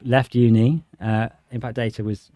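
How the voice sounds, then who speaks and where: A man's voice: mid-range voice, flat delivery, moderate pace, mellow, no room sound, clean studio sound. A man of thirty-nine with an English accent, chatting casually and telling informal anecdotes as a podcast guest. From England, grew up in Shropshire. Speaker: podcast guest, sales director. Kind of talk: casual conversational storytelling.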